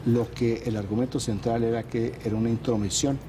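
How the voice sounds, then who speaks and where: A man, a regular on a political panel show, presenting a news roundup in a measured, explanatory way with frequent hesitations. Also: mid-range voice, level tone, background noise.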